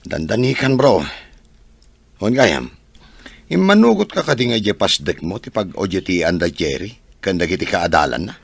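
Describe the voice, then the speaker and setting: Man as shrewd radio drama boss, low gravelly voice, probing questions, Ilocano comedy acting. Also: big pitch swings, normal speed, no echo, exaggerated voice. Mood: knowing.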